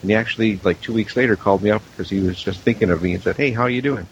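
A man with a fairly low voice talks casually as a panel guest. The recording is dull and lo-fi, with faint hiss behind him. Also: rapid-fire. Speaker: audio drama producer and director, panel guest. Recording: dull lo-fi audio with clear hiss.

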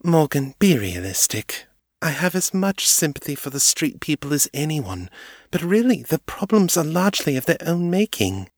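A man's voice: on the high side, sing-song, normal speed, no room sound, clean studio sound, voice pushed up. A man voicing a haughty, aristocratic female vampire who speaks in a polished, condescending way. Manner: polished, formal, patronizing.

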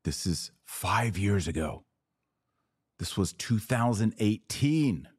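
A man hosting a podcast, giving informal, emphatic commentary with deliberate repetition. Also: on the low side, expressive, husky, no echo, clean studio sound. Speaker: podcast host. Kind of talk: informal commentary on a played clip.

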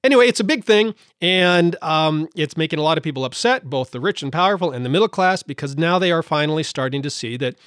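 A man's voice: fairly high pitch, a bit bright, no room sound. Indignant man with a dry close sound. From United States. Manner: indignant, hesitant with many fillers and restarts. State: losing his voice slightly. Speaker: podcast host. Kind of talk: solo podcast commentary.

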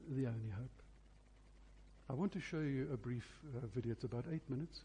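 A man preaching earnestly to a congregation, pausing between phrases for emphasis. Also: mid-range voice, warm tone, a little hiss or hum. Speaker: preacher introducing a recording. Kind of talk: sermon to a congregation.

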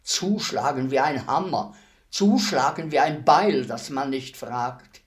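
An actor playing a weary old executioner in a radio play, speaking in a deliberate, ruminative manner with heavy pauses. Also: male voice, medium pitch, close to an everyday voice. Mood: bleak, somber. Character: weary old male executioner, fifty years imprisoned, brooding, humble.

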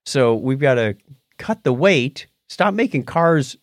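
Animated man chatting as podcast host. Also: medium pitch, no echo, studio quality. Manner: halting, conversational, mildly skeptical. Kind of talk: casual tech news chat.

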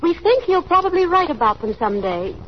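A woman's voice: very high-pitched, big pitch swings, brisk. An actress playing a chatty, anxious young small-town woman putting on airs in a 1930s radio drama, with a gushing manner and nervous little laughs. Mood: flustered, airy. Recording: dull, hissy 1930s radio recording.

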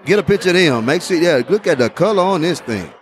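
Excited man repeating himself. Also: on the high side, quick pace. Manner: enthusiastic, repeats his phrases. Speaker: host. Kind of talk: casual beer tasting vlog.

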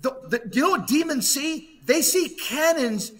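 High-pitched, bright-voiced man preaching urgently. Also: animated, normal speed. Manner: urgent, emphatic, preachy, repeats phrases. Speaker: podcast guest commentator. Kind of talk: impassioned religious exhortation.

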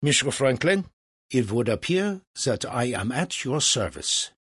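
A man voicing a young musician in a storybook, formal and measured, quietly defiant and wry. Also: medium pitch, big pitch swings, husky, dry recording, studio quality, pitched above the performer's own voice, full character voice. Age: young adult. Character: dry young male musician, mock-reluctant, quietly defiant.

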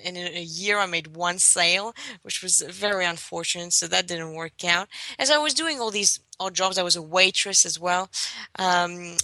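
Young woman from greece on a podcast call, low gravelly voice, lots of ums, dry close sound. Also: sharp tone, no echo, low-quality recording. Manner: hesitant, many fillers and restarts, self-deprecating. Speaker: guest. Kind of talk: casual interview storytelling. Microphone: conference call line.

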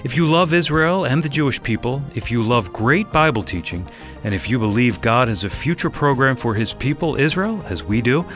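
A man's voice: medium pitch, animated, moderate pace, a bit bright, soft background music. A man with an American accent co-hosting a radio program, reading scripted announcements.

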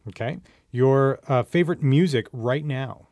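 Guy hosting a podcast, teasing the guest a bit, casual questions, mid pitch, no room echo. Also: measured pace, a little mellow. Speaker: podcast host. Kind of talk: casual interview with quick-fire questions.

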